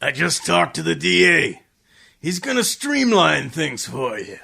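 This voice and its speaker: A man playing a gruff, bullying police inspector with a gravelly, raspy voice in an old-time radio detective drama. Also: fairly high pitch, big pitch swings, a little slow, sharp tone, full character voice. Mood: menacing, smug.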